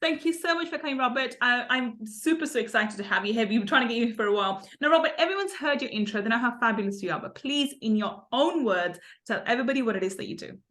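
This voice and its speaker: A woman's voice: on the high side, lively intonation, fast, few pauses, fairly bright tone. A woman hosting a podcast interview, effusive and upbeat, often laughing as she introduces and questions her guest. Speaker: podcast host.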